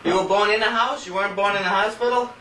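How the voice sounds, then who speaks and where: A man chatting and gently teasing his grandmother during a family recording. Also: high-pitched, fairly even intonation, quick pace. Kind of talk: casual family chat. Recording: echoey home video, distant mic, faint hiss.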